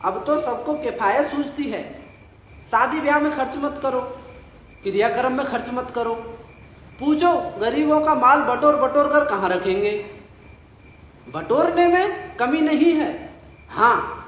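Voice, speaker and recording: An actor playing an old, poor village father in an audio play, nostalgic and boastful, savouring his drawn-out memories and grumbling. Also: extremely high pitch, a bit bright. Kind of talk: Hindi audio play dialogue. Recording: roomy echo, faint noise, night crickets.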